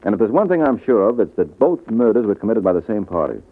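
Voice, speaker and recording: Self-assured American gumshoe, husky and glib, 1940s-style radio detective serial, thin narrow-band recording. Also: male voice, on the low side, sing-song, heavily acted. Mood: cool, teasing. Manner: glib, slangy, unhurried reasoning. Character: wry, self-assured male private detective. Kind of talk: old radio detective drama acting.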